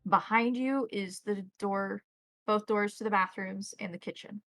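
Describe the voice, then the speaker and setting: Woman game master with a creaky voice. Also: mid-range voice, steady tone, unhurried, fairly bright tone, dry recording. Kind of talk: narrating a tabletop roleplaying game.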